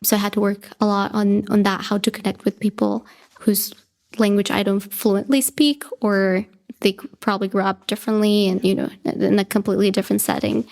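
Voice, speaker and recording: A woman's voice: medium pitch, level tone, brisk, a little mellow. A woman in her twenties from Bolivia, a native Russian speaker, talking reflectively as an interview guest and leaning on "like" and "you know". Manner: reflective, heavy use of "like" and "you know", laughs lightly. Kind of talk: casual conversational interview.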